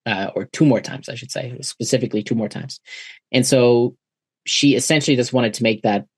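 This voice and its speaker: A man's voice: mid-range voice, fairly quick, husky, no room sound, clean studio sound. A German-born man in his forties, a native German speaker, reflecting on his past as a podcast guest, with frequent fillers and restarts. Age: 40s. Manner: thoughtful, many uh fillers and restarts. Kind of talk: reflective interview conversation.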